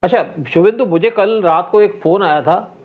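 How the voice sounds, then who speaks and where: A man's voice: high voice, normal speed. Man hosting over a thin, phone-like line. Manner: conversational, mixes English words into Hindi. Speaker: interview host. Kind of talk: informal political interview. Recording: narrow, phone-like call audio.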